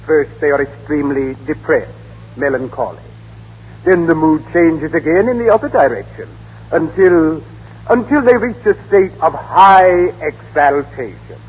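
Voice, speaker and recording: An actor playing a pompous psychiatrist in an old radio drama, husky-voiced, lecturing precisely. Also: male voice, fairly high pitch, animated, measured pace, darkish tone. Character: pompous male Austrian psychiatrist giving expert testimony. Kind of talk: 1930s-40s radio drama acting. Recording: echoey, muffled old radio broadcast.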